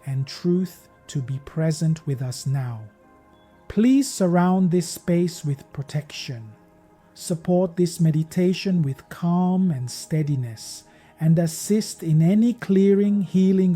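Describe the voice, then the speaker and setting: A man narrating a guided meditation in a gentle, measured, soothing manner, as a podcast host leading listeners. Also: a little high. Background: meditation music.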